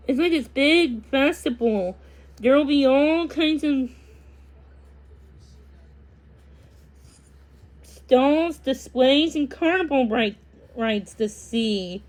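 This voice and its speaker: A woman as a firm, cheerful dad, high-voiced. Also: expressive, slow, darkish tone. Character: firm but cheerful father hurrying his family along.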